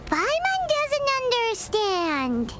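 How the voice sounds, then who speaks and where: A woman voicing an excitable, boastful, childlike fairy companion in a video game, with a squeaky voice and exclamatory delivery. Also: takes it slowly, background noise, exaggerated voice. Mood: puzzled. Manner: refers to self in third person, exclamatory. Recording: background music behind dialogue.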